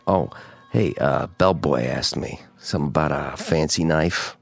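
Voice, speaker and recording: Super deep gravelly street informant in a radio play, shady and evasive. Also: male voice, unhurried, heavily acted. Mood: cagey. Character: cagey lowlife male informant. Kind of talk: radio drama acting.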